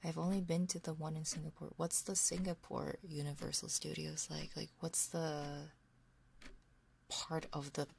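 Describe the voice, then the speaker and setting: A woman hosting an ASMR livestream, chatting casually in a soft, hushed voice that often slips into whispers, close to a binaural microphone. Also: low-pitched, flat delivery, normal speed, vocal fry. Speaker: livestream host. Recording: close binaural capture with faint noise. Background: faint noise, soft clicks of a ticking clock.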